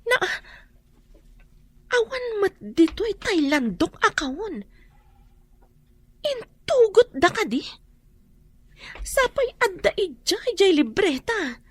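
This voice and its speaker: An actress playing a greedy, bossy wife in an Ilocano radio drama, with an Ilocano accent and a sharp, demanding delivery. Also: female voice, extremely high pitch, sing-song, takes it slowly, long pauses, no room sound, full character voice. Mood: searching. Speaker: scheming lady of the house.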